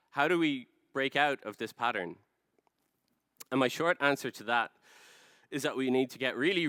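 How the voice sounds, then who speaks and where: A man with an Irish accent presents on stage in a practised conference style. His voice is clean and resonant at a middling pitch, picked up dry with little room sound. He explains step by step like a storyteller, leaning on key words. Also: fairly bright tone, clean tone, no room sound. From Ireland. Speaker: conference speaker, product design director. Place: large dark stage, indoors.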